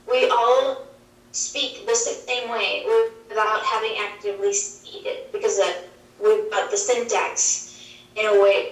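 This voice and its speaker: A woman whose first language is Swedish explains linguistics on a video call, measured and full of examples. Her voice comes from a wall screen across the room, distant and echoey. The pitch sits in a middle range. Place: played through a wall screen in a dim room. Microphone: video call heard over speakers. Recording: roomy, distant playback from a screen.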